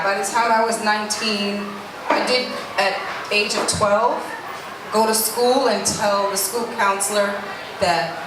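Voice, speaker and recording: A middle-aged woman from Jamaica, a guest speaking earnestly about her life, with many restarts. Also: mid-range voice. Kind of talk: candid conversational interview. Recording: echoey room from a distance, noisy.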